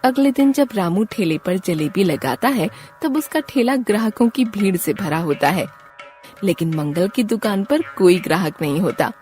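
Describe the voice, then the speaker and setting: A woman delivers scripted storytelling narration for a moral tale. She works as the narrator, and soft music plays underneath her voice. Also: mid-range voice, lively intonation, moderate pace.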